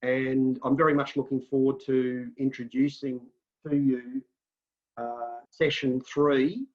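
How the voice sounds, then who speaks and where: A man's voice: medium pitch, little pitch movement. Polite man hosting an online event. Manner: polite, courteous, slightly halting. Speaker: event host. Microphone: video call. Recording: dull lo-fi sound.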